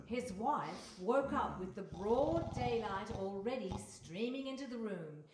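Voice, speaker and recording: A woman's voice: medium pitch, expressive, unhurried, lightly acted. A woman narrating a storybook tale as part of a live radio play. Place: stage before a live audience in a hall. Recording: echoey distant hall, background noise.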